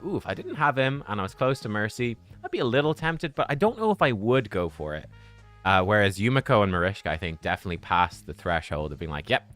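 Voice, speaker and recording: A man chatting casually on a live game stream, relaxed and thinking out loud as he plays. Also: on the low side.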